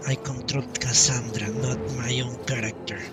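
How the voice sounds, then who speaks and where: A man streaming a video game with casual live commentary, halting and thinking aloud as he plays. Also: mid-range voice, steady tone, distant mic. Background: video game music.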